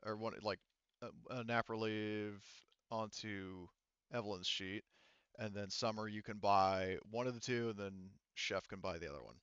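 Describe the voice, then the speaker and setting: A man speaking casually as game master of an online tabletop game, explaining things in a halting, rambling way. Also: on the low side, fairly bright tone, clean tone, dry recording, dull audio.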